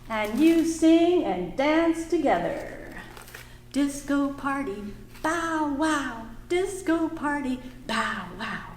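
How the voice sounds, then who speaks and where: A woman reading a picture book aloud to small children at a library storytime, singing the refrains. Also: sits high. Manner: slow, singsong, animated for small children. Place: library room. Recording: echoey room, faint background noise.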